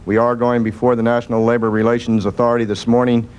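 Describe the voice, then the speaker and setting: A man's voice: mid-range voice, level tone, fast talker, darkish tone, smooth. A man, a government official, answering reporters in a firm, matter-of-fact way. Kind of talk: press Q&A answers.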